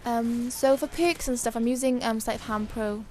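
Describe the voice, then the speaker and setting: A woman with a dry, hoarse voice giving casual, chatty and rambling commentary over a video game. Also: a little high, steady tone, moderate pace, darkish tone, a little hiss or hum. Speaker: gaming video creator.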